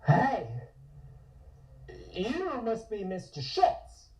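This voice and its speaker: A woman playing a very drunk, friendly man, loud, slurred and over-familiar, in a table read. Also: fairly high pitch, sing-song, drawn-out words, echoey room, recorded from a distance, full character voice. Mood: drunk, elated. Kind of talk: comic script table read.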